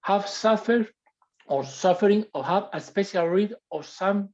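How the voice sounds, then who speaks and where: A woman from Spain, a non-native English speaker with a Spanish accent, reads out a prepared list as a workshop panelist, haltingly restarting over English words. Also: mid-range voice, darkish tone. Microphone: video call. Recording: muffled lo-fi video call audio.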